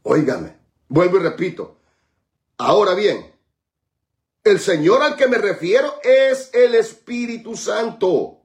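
Guy preaching a sermon, high voice, swoops all over, gets the crowd to repeat stuff. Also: big pitch swings. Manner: preaching with call-and-response, dramatic slowed emphasis on scripture. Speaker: pastor.

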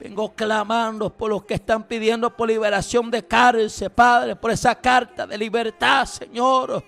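A man leading fervent intercessory prayer, shouting and pleading in repeated commands, breaking into tongues. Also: very high voice, level tone, a little slow, creaky, soft background music.

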